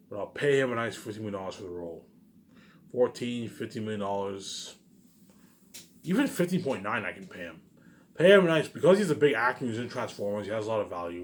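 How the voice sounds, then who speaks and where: A man with a fairly low voice rambles casually, as if vlogging to his followers. He muses aloud and circles back to repeat his phrases. The amateur recording carries faint background noise. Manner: repetitive, musing aloud.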